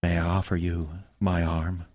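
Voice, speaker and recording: An actor playing a suave, courtly charmer in a radio comedy mystery, with flowery, formal, romantic flattery. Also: male voice, low voice, normal speed, close to an everyday voice. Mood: seductive, wistful. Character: suave, courtly older male charmer, theatrically romantic con man.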